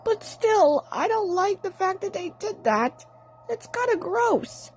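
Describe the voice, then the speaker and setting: A woman's voice: very high-pitched, big pitch swings, slow pace, a little mellow, voice pushed up, heavily acted. A woman voicing a grumpy, squeamish cartoon cat with a dramatic, grumbling delivery in an audio play. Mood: grossed out.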